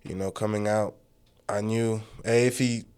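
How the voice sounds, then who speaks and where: Smooth low-voiced young man chatting. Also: normal speed. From Uganda. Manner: relaxed, easygoing, full of "you know" fillers. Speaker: guest, amateur fighter. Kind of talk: casual interview chat.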